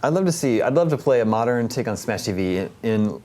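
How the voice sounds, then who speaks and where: A man's voice: medium pitch, animated, a little fast, warm tone. A man talking casually about video games as a panel show host. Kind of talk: casual video game discussion.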